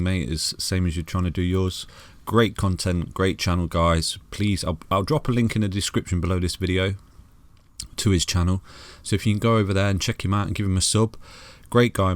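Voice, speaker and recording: A low-pitched man with a soothing voice talks through trading charts, friendly and loose, with many restarts. His voice is close and dry, with no room sound behind it. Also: dry recording. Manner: chatty, calls viewers "guys" and "mate", many restarts. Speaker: crypto chart analyst. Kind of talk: casual chart walkthrough.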